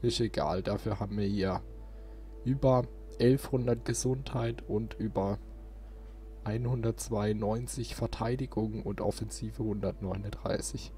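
A man's voice: on the low side, fairly even intonation, measured pace, warm tone, faint music behind. A man giving casual gameplay commentary as a let's play host.

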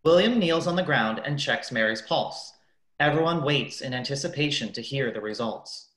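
A woman's voice: a bass voice, expressive, moderate pace, a bit bright, reverberant. A woman narrating a radio play, reading out scripted stage directions.

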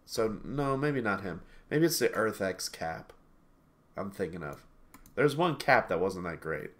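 A man's voice: fairly low pitch, expressive, a little slow, fairly bright tone. A sniffly man in his thirties talks over a video call through a headset from a small room at home. He rambles in a casual way, often doubling back and correcting himself, with easy laughs in between. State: sniffly from allergies. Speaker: game reviewer co-host.

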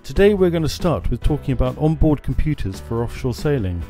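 A man, presenting marine navigation equipment in a small showroom in a steady, informative way on a lapel mic. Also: mid-range voice, brisk, soft background music. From France. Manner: steady, informative, gestures at screens. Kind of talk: scripted product explainer.

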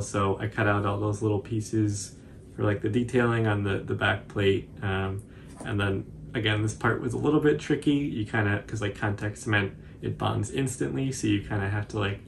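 A man in his twenties giving a casual, informal tutorial commentary in a halting, hesitant way. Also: lowish voice, gravelly, a little hiss or hum. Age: 20s. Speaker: cosplay tutorial presenter.

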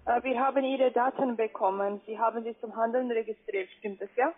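A woman working as a call-center agent, giving a hesitant sales pitch. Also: steady tone, brisk. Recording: tinny narrow phone line.

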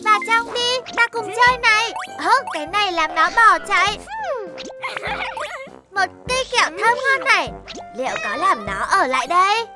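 A woman voicing an excitable, bossy little animal child in a children's cartoon, with a childlike, exclamatory, singsong delivery. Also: very high-pitched, moderate pace. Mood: excited. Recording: music behind the voice.

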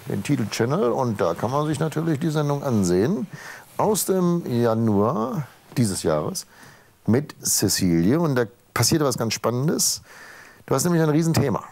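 A man's voice: a little high, vocal fry, a little mellow. A man in his fifties hosting a talk show in a TV studio, chatting with guests in a joking, teasing way on a lapel mic. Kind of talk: joking casual studio chat.

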